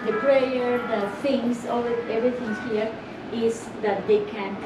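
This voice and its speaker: A woman from Colombia, a native Spanish speaker with a Colombian accent, speaking as a Spanish teacher at a school open house, halting and restarting her sentences. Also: a little high, steady tone. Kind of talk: open-house classroom talk. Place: school classroom. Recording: echoey room from far off, loud music behind.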